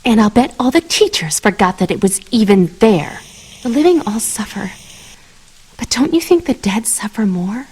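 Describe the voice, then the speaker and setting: A young woman voicing a moody, blunt high school girl in a video game, with a sarcastic, scolding manner. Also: expressive, normal speed, a little mellow, husky. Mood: wry, musing. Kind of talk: English video game voice acting.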